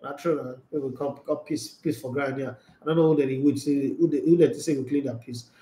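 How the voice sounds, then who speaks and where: A man, hosting a casual football chat show and answering viewer comments in an animated, rambling way. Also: medium pitch, brisk, reverberant, slight background noise. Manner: animated, rambling, gestures a lot. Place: small indoor studio room.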